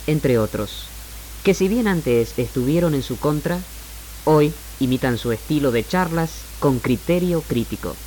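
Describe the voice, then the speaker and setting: A man with an Argentine accent delivers a scripted radio monologue in a measured, formal, rhetorical manner as the host. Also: mid-range voice, a little hiss or hum, low-quality recording. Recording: 1969 AM radio archive, music bed. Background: music.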